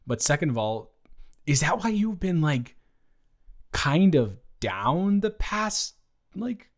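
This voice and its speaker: A man playing a teasing, supportive male roommate in an improvised romantic audio roleplay, talking casually and stammering, with animated outbursts. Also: fairly high pitch, takes it slowly, heavily acted. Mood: indignant, reassuring. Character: male roommate and friend, teasing, supportive, indignant on her behalf.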